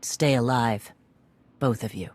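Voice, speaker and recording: An actor playing a tough, no-nonsense warrior clan leader in a video game, giving blunt orders. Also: male voice, mid-range voice, takes it slowly, lightly acted. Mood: blunt, measured. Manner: blunt, commanding.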